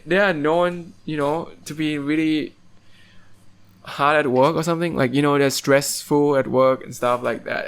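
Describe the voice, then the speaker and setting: A man's voice: medium pitch, clean tone. A young man from Thailand, hosting a casual podcast chat and rambling along with lots of fillers and restarts. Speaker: podcast host.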